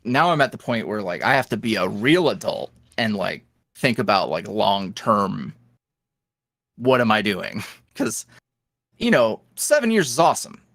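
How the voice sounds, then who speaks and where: A man guest on a podcast, an ASMR roleplay creator, talking candidly in a rambling, filler-heavy way that swings from lively bursts to low mutters. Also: unhurried, gravelly, no echo, clean studio sound. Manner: swings between animated highs and low mutters. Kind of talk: casual interview chat.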